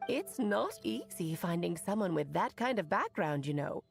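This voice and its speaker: A woman's voice: on the low side, very animated, normal speed, gravelly, faint music behind. A woman playing an elegant, playful, scheming witch in a video game, speaking in a theatrical, refined, teasing manner. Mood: amused, pleased. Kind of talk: video game character acting.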